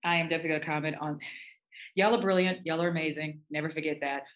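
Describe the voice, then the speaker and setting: Moderator who steers a panel, upbeat and encouraging, quick to laugh. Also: mid-range voice, dull audio. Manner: encouraging, animated, laughs easily. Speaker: discussion moderator. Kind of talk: casual discussion.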